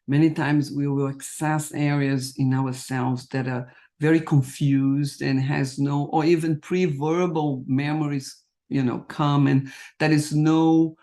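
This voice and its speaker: A woman's voice: low-pitched, little pitch movement, measured pace, warm tone. A middle-aged woman hosting a video-call interview, speaking English as a non-native speaker and searching for her words. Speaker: host. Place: home room with plants. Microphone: video call webcam.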